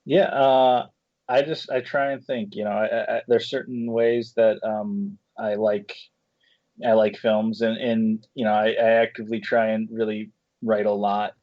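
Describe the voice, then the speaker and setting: A man speaking casually as an interview guest, a screenwriter and director, in a halting, thoughtful way that circles back through rephrasings. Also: fairly low pitch, little pitch movement, dry recording. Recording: dull, muffled lo-fi audio.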